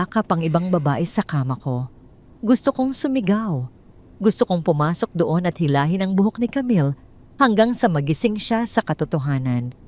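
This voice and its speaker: Woman with a lowish voice telling a story, hushed and suspenseful. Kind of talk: first-person scripted narration.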